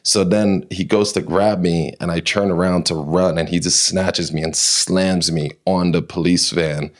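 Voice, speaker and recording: A man's voice: low-pitched, steady tone, measured pace. A man from New York, a guest in a casual interview, retelling personal stories with lots of fillers and restarts.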